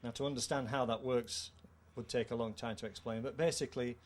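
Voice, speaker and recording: A middle-aged man with a British accent gives a measured, formal technical explanation of a product. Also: medium pitch, fairly even intonation. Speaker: company co-founder presenting a product. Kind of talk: technical product presentation in an interview. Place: indoor trade show room. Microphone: handheld microphone. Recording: clear background noise, show-room ambience.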